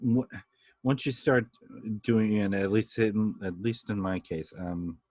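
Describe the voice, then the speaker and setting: A man hosting a casual interview chat, with a deep bass voice and a halting, filler-heavy way of talking. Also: a little mellow, no room sound. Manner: halting, many fillers and restarts, laughs often. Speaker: podcast host.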